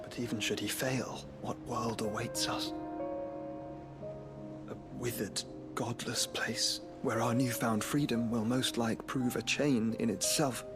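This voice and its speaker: Male actor as noble game hero, unhurried and formal, medium pitch, big music behind. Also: fairly even intonation, lightly acted. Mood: grave, measured. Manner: formal, measured phrasing. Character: noble young lord, dutiful and determined younger brother. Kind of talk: cinematic game voice acting. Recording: loud orchestral music behind the voice.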